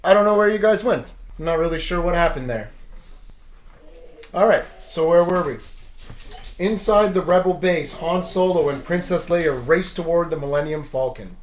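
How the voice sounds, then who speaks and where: A man with a fairly high voice narrates a children's adventure story aloud. His delivery is dramatic, leaning into the dialogue, and the room adds a clear echo. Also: normal speed, a little hiss or hum. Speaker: storybook read-aloud host. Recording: roomy echo.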